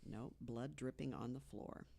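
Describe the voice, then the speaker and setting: A woman's voice: very deep voice, measured pace, vocal fry, slightly rough. Woman telling a creepy tale, chatty. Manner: chatty storyteller, fills with "you know", drops to hushed tones for the scary parts. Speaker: podcast co-host. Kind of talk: casual storytelling chat.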